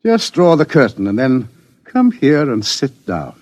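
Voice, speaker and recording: An actor playing a wry, fatalistic older scientist in a 1950s radio drama, explaining things with some hesitation. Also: male voice, medium pitch, very animated, a little slow, darkish tone, dry recording. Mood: wry, grave. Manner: wry, expository, hesitant in places.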